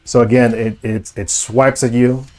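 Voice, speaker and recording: A man acting as game master, improvising narration of a tabletop horror game in halting, repetitive phrasing. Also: mid-range voice, level tone, darkish tone, soft background music.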